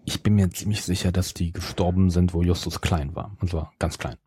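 A man's voice: low voice, fairly even intonation, a little fast, mellow, breathy edge, no echo. A man talking casually as the co-host of a podcast. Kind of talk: casual chat.

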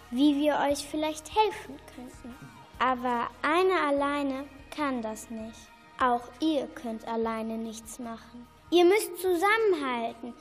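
Woman playing a kindly high-voiced sorceress in reverberant hall. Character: kindly female sorceress, encouraging riddle-giver to two prisoners. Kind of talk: children's radio play acting. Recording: long reverb, music behind.

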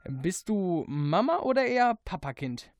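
Unhurried, giggly woman hosting radio. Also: lowish voice, sing-song, darkish tone. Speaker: radio host. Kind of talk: casual radio interview with quick-fire questions.

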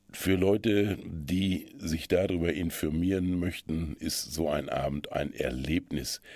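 A man with a husky voice, hosting and presenting local culture listings in a scripted radio style. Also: very deep voice, darkish tone, no room sound. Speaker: radio host presenting local event tips.

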